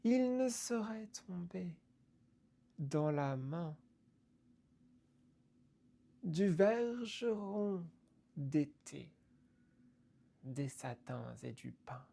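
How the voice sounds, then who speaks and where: A woman reciting poetry theatrically, deliberately, in short halting phrases that sometimes fall away toward a whisper. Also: fairly low pitch, big pitch swings, creaky, warm tone.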